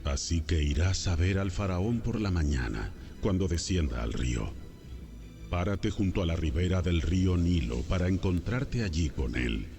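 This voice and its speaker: A man's voice: low-pitched, expressive, moderate pace, gravelly. A man playing God as a solemn, commanding deity in a dramatized audio Bible. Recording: music behind the voice. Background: music.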